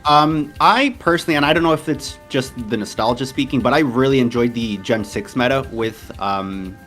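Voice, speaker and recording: A man in his twenties from Portugal with an American accent, chatting casually as an interview guest, relaxed and rambling with many fillers. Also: mid-range voice, normal speed, faint music behind. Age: 20s. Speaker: interview guest, competitive game player.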